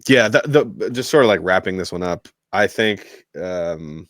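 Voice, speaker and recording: Male podcast co-host, low register, conversational sports talk, dry recording. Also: moderate pace, fairly bright tone, no room sound. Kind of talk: casual sports discussion.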